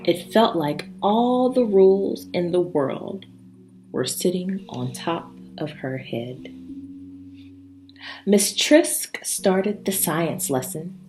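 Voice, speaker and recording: A woman reading a children's story aloud in an expressive, emphatic way, pausing for drama between short phrases. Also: lowish voice. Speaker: storybook reader. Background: background music.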